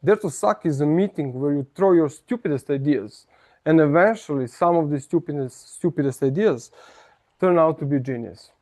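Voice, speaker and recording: Self-mocking Serbian man, playful and halting. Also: fairly high pitch, a little mellow. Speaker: club speaker. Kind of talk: humorous prepared speech. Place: meeting room.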